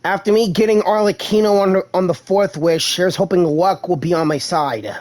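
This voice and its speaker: A man's voice: high voice, normal speed, a little mellow. A man giving casual let's-play commentary as a gaming YouTuber.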